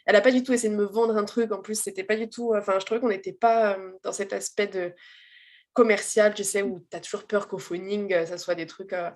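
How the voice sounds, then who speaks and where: A woman giving a personal testimonial as a guest, speaking candidly and informally in a halting, emphatic way. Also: medium pitch, fairly even intonation. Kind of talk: casual personal storytelling.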